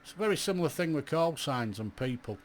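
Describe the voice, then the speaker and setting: A man's voice: fairly high pitch, expressive, fast, a little hiss or hum. A middle-aged man, talking to viewers in a blunt, chatty, rambling vlog about his amateur radio hobby and asking them many questions. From England. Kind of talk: casual vlog monologue to viewers.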